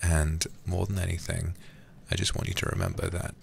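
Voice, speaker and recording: A man livestreaming a game in a soft, soothing ASMR style, chatting casually and affectionately close to the microphone. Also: low-pitched, very animated, a little fast, gravelly, slight background noise. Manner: soothing, affectionate, drops into whispers. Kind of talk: ASMR gaming stream, soft casual chat. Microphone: close streaming microphone.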